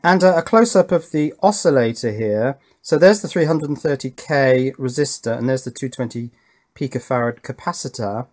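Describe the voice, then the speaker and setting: A man's voice: mid-range voice, normal speed, a little mellow. A man giving an unscripted technical explanation in a musing, methodical way, as the presenter of an electronics tutorial. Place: indoors at a workbench.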